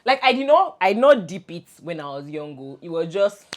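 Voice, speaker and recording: A woman's voice: lowish voice, brisk. A young Nigerian woman co-hosting a casual podcast, telling stories with laughter and many restarts. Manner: animated storytelling, laughs often, many restarts. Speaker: podcast co-host. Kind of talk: casual chat between best friends.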